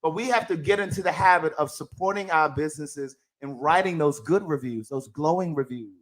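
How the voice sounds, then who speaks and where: A man co-hosting a talk show in expressive, teasing casual banter. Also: fairly high pitch, a little fast, low-quality recording.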